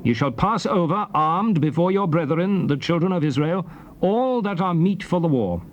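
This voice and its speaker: An actor playing a solemn old prophet recounting conquests to his people, in declamatory, measured theatrical scripture style. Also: a little high, expressive, dull audio, lightly acted. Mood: commanding. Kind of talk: dramatized scripture reading, theatrical oratory. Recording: faint noise from old cassette tape.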